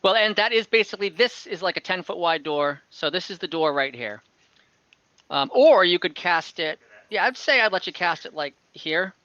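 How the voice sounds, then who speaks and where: Bright-voiced man running a tabletop game. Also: high-pitched, expressive, normal speed, no room sound, lo-fi sound. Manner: explains rules with restarts and hesitant fillers. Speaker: game master. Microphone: home mic on an online call.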